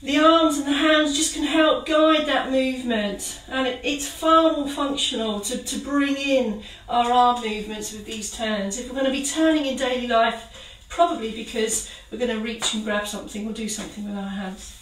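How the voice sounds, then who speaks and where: A woman leading a gentle exercise class, giving calm, encouraging step-by-step cues. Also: fairly high pitch, normal speed, hardly any pauses, distant mic. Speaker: exercise instructor. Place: kitchen. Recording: roomy sound from away from the mic.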